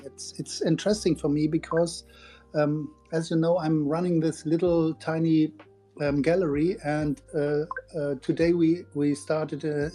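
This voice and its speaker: A man's voice: a little high, warm tone. A man who runs a gallery, speaking hesitantly over a phone with many fillers. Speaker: gallery owner. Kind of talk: casual chat.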